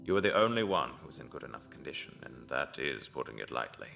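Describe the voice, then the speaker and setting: An actor playing an apologetic, macabre cult tailor who stitches corpses, speaking haltingly in an audio drama. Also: male voice, a bass voice, very animated, vocal fry, pushed and bright, soft background music, heavily acted. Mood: grim, regretful.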